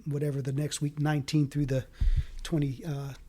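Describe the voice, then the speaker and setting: Guy running for local office, greek first language, kinda high voice, lots of uhs. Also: little pitch movement, mellow. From Fayetteville, North Carolina. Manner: earnest, halting, many fillers. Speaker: city council candidate. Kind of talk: casual interview talk.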